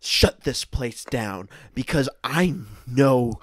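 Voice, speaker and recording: A young man improvising a comedy table read in a hesitant, halting way. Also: mid-range voice, lively intonation, no room sound, clean studio sound. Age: young adult. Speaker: podcast host and improv performer. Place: room at home, on a video call.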